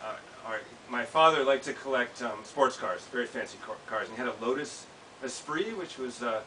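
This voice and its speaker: A man's voice: mid-range voice, expressive, moderate pace. An American man in his thirties, an author giving a live reading of his personal essay in a small indoor bookshop. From United States. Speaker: author reading from own work. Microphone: camera several feet away. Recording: distant mic, hissy background noise.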